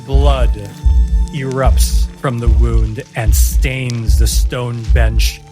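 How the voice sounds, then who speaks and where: A male game master tells a dramatic fantasy story in a mid-range voice. He takes his time, leaving deliberate pauses between phrases, while music plays clearly behind him. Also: medium pitch, fairly even intonation. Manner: measured, deliberate, theatrical pauses. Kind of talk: dramatic narration of a tabletop roleplaying story.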